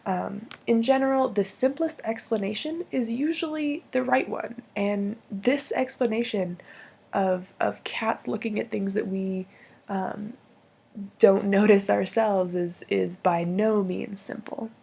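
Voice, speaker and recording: A woman with a hoarse voice from bronchitis, explaining logical fallacies in a hesitant way with many pauses and restarts. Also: medium pitch, mellow. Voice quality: husky, gravelly.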